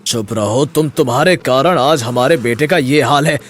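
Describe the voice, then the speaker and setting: A man's voice: mid-range voice, normal speed, faint music behind, natural voice. A man voicing a stern, remorseful older landowner father, grave and earnest, in a moral story.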